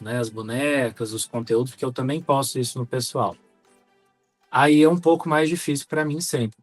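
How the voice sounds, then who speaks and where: A man with a Brazilian accent talking reflectively as a podcast co-host, measured and articulate, feeling for his words. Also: medium pitch, no room sound. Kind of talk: reflective conversational podcast talk.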